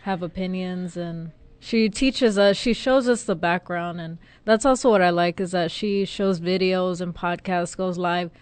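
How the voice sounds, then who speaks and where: A woman, co-hosting a casual podcast and chatting in a relaxed way. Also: lowish voice, a little slow, hardly any pauses, clean tone, dry recording. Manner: relaxed, lots of affirming murmurs. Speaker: podcast co-host.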